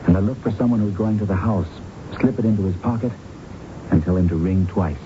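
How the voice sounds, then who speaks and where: Muffled noisy radio drama, male actor as lovelorn man, deep voice, secretive, measured, confiding. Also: close to an everyday voice. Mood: earnest. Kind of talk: 1970s radio drama acting. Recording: muffled noisy old radio broadcast, low rumble.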